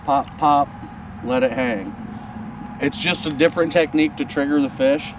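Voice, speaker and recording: A man's voice: fairly high pitch, fairly even intonation, moderate pace. Man explaining outdoors, a bit distant. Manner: plain, practical explaining while handling gear. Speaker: fishing instructor. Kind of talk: casual outdoor instructional demo. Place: outdoors on a boat and a snowy riverbank. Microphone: camera mic from a few feet away. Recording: distant camera mic, faint outdoor noise.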